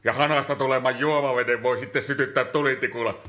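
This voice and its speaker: Man acting a bright-voiced, mischievous boyish delegate. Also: medium pitch, fairly even intonation, natural voice. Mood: impressed, dim. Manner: childish, offhand, flippant. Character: mischievous, slow-witted boyish country delegate who would rather play. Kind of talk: comic radio play acting.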